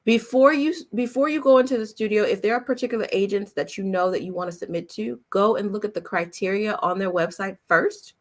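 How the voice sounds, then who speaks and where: A woman's voice: medium pitch, fairly quick, few pauses. A woman giving an informal lesson as a voice-over coach, speaking emphatically and instructively, repeating key points and often checking in with her listeners. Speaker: voice-over coach and demo producer. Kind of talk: informal teaching talk, coffee chat webinar.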